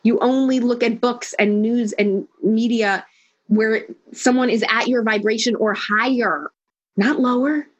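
A woman's voice: a little high, steady tone, a little slow, muffled recording. A woman speaking as a life coach in an interview, firm and emphatic, rattling through lists of points. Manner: emphatic, declarative, speeds up when listing. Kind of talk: conversational interview.

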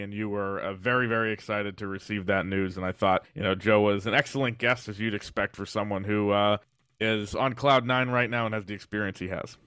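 A man's voice: lowish voice, a bit bright, clean tone, dry recording. A man hosting a podcast, giving a conversational introduction. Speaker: podcast host.

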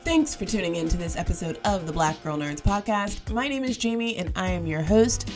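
A woman's voice: fairly low pitch, animated, a little fast, a little mellow, echoey room, faint music behind. A woman hosting a podcast, sounding a bit nasal as she gets over a cold, talking in an upbeat, chatty way. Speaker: podcast host. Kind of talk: podcast intro and roundtable chat. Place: table at a film festival.

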